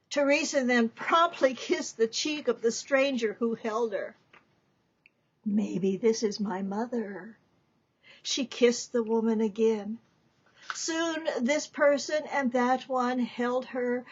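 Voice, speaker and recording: A woman giving a dramatic reading of a story, deliberate and theatrical, with emphatic pauses. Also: on the high side, muffled recording. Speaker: author reading from own book.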